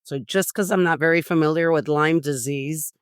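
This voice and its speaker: Clear, higher-voiced male podcast host. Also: steady tone, moderate pace, no room sound, clean studio sound. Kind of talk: casual conversational interviewing.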